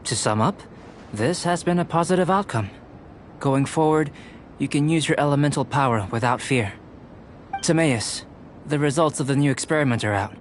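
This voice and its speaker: A man's voice: on the high side, lively intonation, a little hiss or hum, close to an everyday voice. Cool, analytical young alchemist, played by a man. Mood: reflective, explanatory. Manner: measured, analytical, precise, professorial. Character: cool, cerebral young male alchemist, calm and detached. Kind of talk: anime-style video game voice acting.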